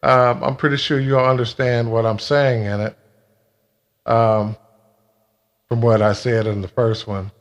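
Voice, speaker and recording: Man, mid-range pitch, slow pace, halting delivery, blunt, emphatic, casual monologue. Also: flat delivery. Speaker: video creator telling a personal grievance.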